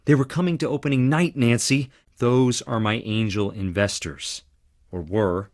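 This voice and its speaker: An actor playing a charming, theatrical theater performer with dramatic flair in a video game. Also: male voice, mid-range voice, normal speed, a little mellow, close to an everyday voice. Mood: tense, bitter. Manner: theatrical, dramatic, swinging between playful and intense. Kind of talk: video game character dialogue.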